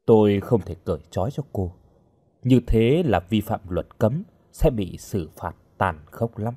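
A man voicing a cold, taciturn henchman with a hidden kindness, speaking in a gruff, curt way. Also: on the low side, expressive, a little slow, mellow. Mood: cold, warning.

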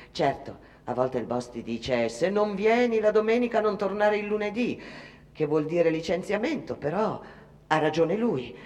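A woman's voice: fairly low pitch, a little mellow, natural voice. An actress playing a timid, devout and trusting young immigrant factory girl, hesitant and earnest as she confides in her mother. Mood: earnest, accepting. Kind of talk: theatrical radio monologue. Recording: long hall reverb, faint noise.

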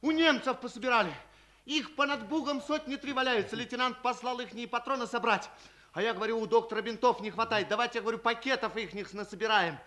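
An actor playing an eager, shy but brave young soldier, earnest and boyish, in a 1950s Soviet radio play. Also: male voice, very high-pitched, fast talker, bright, forceful tone. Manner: earnest military address, boyish frankness.

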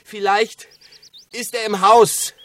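A man's voice: sits high, level tone, slow pace, a bit bright. An actor playing an urgent young man calling out in a raised voice in a radio crime drama. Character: urgent young man searching, older brother.

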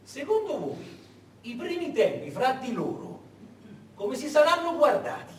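A male stage narrator with a high voice and lively, swooping intonation. He tells the story emphatically and conversationally, throwing out rhetorical questions, a little far from the mic in an echoey space. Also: measured pace. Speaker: actor-narrator. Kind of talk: theatrical storytelling monologue to an audience. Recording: echoey hall, distant mic, rumbling noise.

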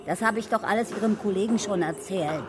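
A woman's voice: medium pitch, fairly quick, music in the background, close to an everyday voice. Curt, defensive woman neighbour played by an actress. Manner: curt, grudging answers. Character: reluctant, defensive female apartment-house neighbour. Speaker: neighbour being questioned by police. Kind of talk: naturalistic radio crime drama acting.

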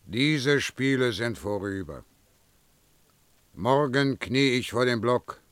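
Slow, defiant condemned prisoner. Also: male voice, mid-range voice, expressive, fairly bright tone, clean tone, dry recording. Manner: terse, weighty verse delivery. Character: defiant, fatalistic condemned male prisoner, grave and terse. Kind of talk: German radio play verse acting.

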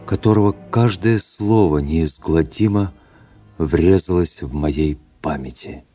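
A man's voice: deep voice, slow pace, warm tone. An actor playing an anguished, brooding officer who narrates his lost love in a radio drama, with theatrical, intense phrasing. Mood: weary foreboding. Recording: prominent music under the voice. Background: music.

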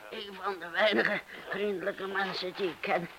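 A woman's voice: medium pitch, fairly even intonation, normal speed, background noise, lightly acted. An actress in a radio drama playing a dotty, stubborn homeless woman with a plaintive, pleading manner. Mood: wheedling, grateful.

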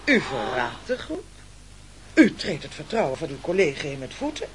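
An actor playing a pompous, scheming castaway leader in a radio play, with oratorical delivery. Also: male voice, fairly high pitch, wildly swooping pitch, moderate pace, slight background noise. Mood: reproachful. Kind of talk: theatrical radio play acting.

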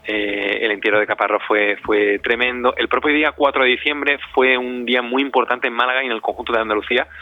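A man's voice: mid-range voice, dry recording. A man speaks as a radio interview guest. He gropes for words and doubles back on them, in a dry, slightly muffled lo-fi recording. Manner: halting, searching for words, repeats words. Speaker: interview guest, audio fiction director. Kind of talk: spontaneous interview answers.

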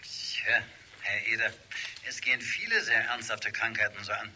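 A man's voice: on the low side, level tone, moderate pace, bright, forceful tone, a little hiss or hum. A male actor playing a concerned family doctor in a children's radio play, authoritative and insistent, with a patronizingly soothing way of talking. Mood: brisk, concerned. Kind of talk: 1970s German children's radio play acting.

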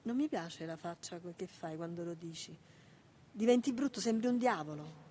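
A woman's voice: on the low side, normal speed, warm tone, a little hiss or hum, close to an everyday voice. An actress playing a protective, weary and tender Neapolitan woman in a naturalistic radio drama, coaxing and repeating herself in a maternal way. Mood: anxious pleading.

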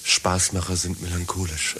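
A man's voice: low voice, little pitch movement, moderate pace, pushed and bright, a little hiss or hum. Placating, bumbling company man. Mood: soothing, placating. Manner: appeasing, repetitive, formal. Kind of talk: satirical radio play acting.

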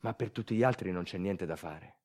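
A man performing a theatrical narrative monologue as a storyteller, moving dramatically between quiet asides and urgent delivery. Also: low voice, brisk. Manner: dramatic, swinging from hushed asides to loud urgency. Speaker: solo stage storyteller, actor.

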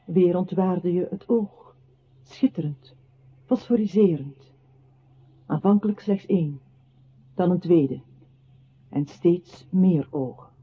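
Grave husky male narrator, old radio play, muffled phone-like sound. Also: sits high, slow pace, long pauses, heavily acted. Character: grave male narrator recounting a man's lonely downfall.

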